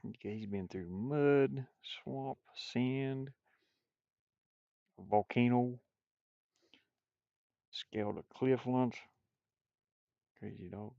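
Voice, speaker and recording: A man's voice: medium pitch, drawn-out words, vocal fry, long pauses, mellow, no echo, highs cut off. A man talking casually to himself as he draws, absent-minded, with playful sing-song asides. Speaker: game artist streaming a drawing session. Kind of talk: casual muttering while drawing.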